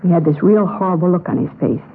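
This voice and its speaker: A woman's voice: fairly low pitch, quick pace, lightly acted. Actress as an earnest, worried woman on tinny old radio. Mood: uneasy recounting. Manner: plainspoken, earnest. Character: earnest, worried young woman reporting missing sister. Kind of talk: 1950s radio police drama. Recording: tinny vintage radio recording, noisy.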